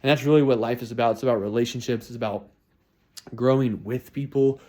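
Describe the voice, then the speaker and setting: A man's voice: mid-range voice, steady tone, brisk, dark tone. A man in his twenties talking through his New Year's resolutions in a casual, motivational way, often repeating and restarting phrases. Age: 20s. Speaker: vlogger.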